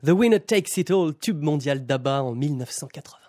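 A man's voice: a little high, lively intonation, a little fast. A man hosting a radio interview, asking polite, formal questions. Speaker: radio host.

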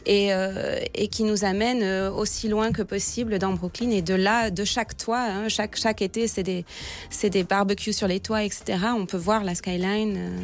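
A woman guidebook author answering radio questions in a casual, lively way with restarts. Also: mid-range voice, moderate pace.

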